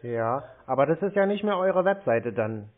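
A man's voice: fairly high pitch, expressive, moderate pace. A man in a casual phone conversation as a customer, terse and questioning. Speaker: caller posing as a customer. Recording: narrow, tinny phone line.

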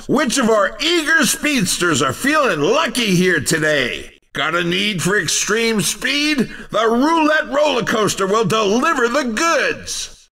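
High-pitched, hyped racing game commentator. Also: male voice, gravelly, pushed and bright, distant mic, heavily acted. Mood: excited, teasing. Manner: showy announcer delivery, hyped. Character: excitable, upbeat male arcade racing game commentator. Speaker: race commentator. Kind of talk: scripted video game sports commentary.

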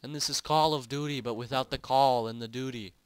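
A young man casually commentating on a shooter game, joking and getting loud when excited. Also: mid-range voice, brisk. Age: young adult. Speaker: gaming channel host. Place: home, recorded late at night.

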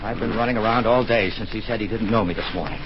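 A noisy, muffled 1940s radio recording carries a man as a young heir in trouble. He is earnest and hesitant, with a medium-pitched voice. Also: quick pace, lightly acted. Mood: earnest, pleading. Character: anxious, earnest young heir seeking help.

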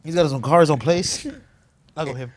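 A man's voice: on the high side, fast, no echo. A young man, a guest on a podcast, firing off teasing wisecracks in a casual chat in a small studio.

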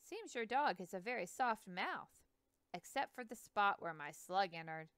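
An eager young explorer, polite and earnest, his words tumbling out in a rush, as a woman reads him aloud. The voice is smooth and clear, with a lively, rising and falling pitch, set close and dry like a storybook reading. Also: moderate pace, dry recording, close to an everyday voice. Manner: earnest, courteous, speaks in a rush.

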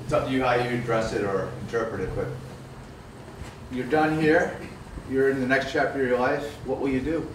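A man asks a question from the audience in a friendly, direct way. His voice is mid-pitched. The sound is distant and echoey, with noise behind it. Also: fairly even intonation, a little fast. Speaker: audience member asking a question. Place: seminar room, indoors. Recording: echoey room from far off, background noise.

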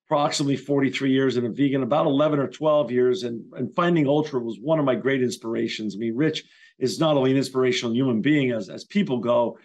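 A man's voice: medium pitch, fast, darkish tone. A sixty-year-old man hosting a podcast interview over a video call, enthusiastic and repeating words as he asks questions. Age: 60s. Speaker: podcast host. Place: home office.

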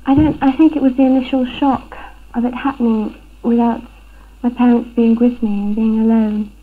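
Young Englishwoman, interviewee, hesitant delivery in a narrow pitch range; tinny 1970s film recording. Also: fairly even intonation, moderate pace. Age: young adult. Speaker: interviewee, burglary victim. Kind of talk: personal account in a documentary interview. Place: room at home, indoors.

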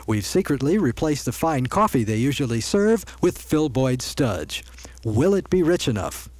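A man's voice: medium pitch, very animated, moderate pace, a little mellow, dry recording. A man playing a confiding announcer in a parody radio coffee commercial. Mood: confiding, promotional. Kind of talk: parody hidden-camera ad voice-over.

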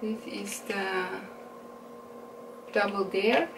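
Slow, chatty Norwegian-accented woman vlogger. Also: mid-range voice, distant mic. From Norway. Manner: unhurried, chatty, self-correcting, laughs at her own English. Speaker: beauty vlogger. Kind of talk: casual makeup haul review. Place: small room indoors. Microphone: camera mic at a distance. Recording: roomy sound with a steady background hiss.

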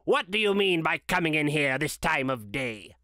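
A storybook reader voicing a stern old boss who growls deliberately at an employee. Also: mid-range voice, big pitch swings, pushed and bright, no echo, studio quality. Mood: mock stern. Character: feigned gruff, stern old boss scolding his clerk. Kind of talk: dramatic storybook character reading.

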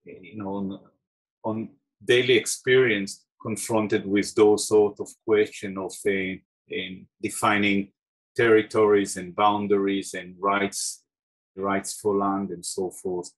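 A middle-aged man from Israel with an Israeli accent, an artist talking over a video call about his films, thoughtful, pausing with fillers. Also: on the low side, flat delivery, poor audio. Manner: thoughtful, many restarts and fillers. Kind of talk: talk about his own work. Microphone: video call webcam.

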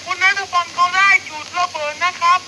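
A squeaky, extremely high-pitched news presenter reads a chirpy parody bulletin. The playback is clearly noisy. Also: steady tone, fairly quick, pushed and bright, heavily acted.

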